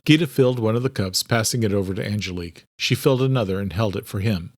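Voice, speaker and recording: A man's voice: lowish voice, fast talker, darkish tone, no echo, studio quality. A man narrating a thriller audiobook from a script.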